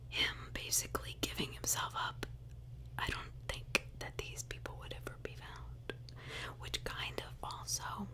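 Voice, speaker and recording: A woman whispering a true crime story in ASMR style, adding informal personal asides as she narrates.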